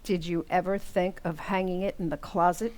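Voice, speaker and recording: A woman playing a patient but firm housewife in a radio comedy, coaxing and gently scolding her husband. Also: lowish voice, fairly even intonation, normal speed, close to an everyday voice. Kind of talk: old-time radio comedy acting.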